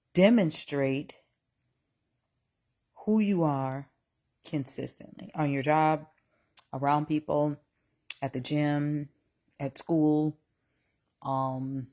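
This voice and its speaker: A woman's voice: deep voice, darkish tone. A woman in her forties talking casually to a live audience about faith and relationships, often restarting her sentences and reading comments aloud. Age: 40s. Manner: reads chat aloud, exclaims in mock outrage; slow, repetitive, restarts often. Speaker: live stream host.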